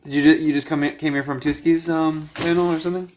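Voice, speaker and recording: A man's voice: on the high side, little pitch movement, fast talker, darkish tone, a little hiss or hum. A man in his late twenties hosting a live stream, chatting casually and pressing a guest with questions, cutting in to finish his point. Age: 20s. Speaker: livestream host. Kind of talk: casual live chat and debate.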